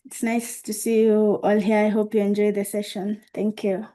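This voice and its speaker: Mellow flat-voiced woman. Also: medium pitch, normal speed. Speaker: researcher. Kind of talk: self-introduction in meeting.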